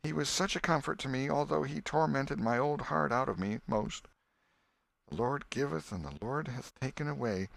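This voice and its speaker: Man acting a high-pitched, plaintive elderly aunt. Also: normal speed, creaky, a bit bright, dry recording. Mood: grieving. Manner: folksy, plaintive, repeating herself in lament. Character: elderly grieving aunt, fond, scolding, tearful.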